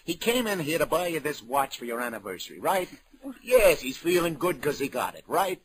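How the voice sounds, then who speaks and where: An actor playing a calm New York police lieutenant in a radio drama, asking clipped questions and reassuring in colloquial talk. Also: male voice, fairly high pitch, brisk, a little hiss or hum. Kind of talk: 1950s radio drama acting.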